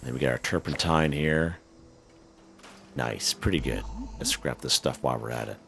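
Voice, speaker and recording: A man with a hoarse, worn voice, casually talking viewers through a game, full of fillers and "okay?" check-ins. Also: rumbling low, expressive, normal speed, fairly bright tone, a little hiss or hum. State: hoarse, losing his voice. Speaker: gaming channel host. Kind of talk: casual gameplay walkthrough commentary.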